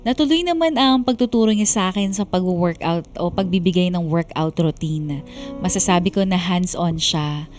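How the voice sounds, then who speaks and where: A woman's voice: lowish voice, moderate pace, darkish tone, faint music behind, close to an everyday voice. A woman playing a bemused young woman who confides her love story as first-person radio drama narration. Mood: reminiscing. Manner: confiding, wondering.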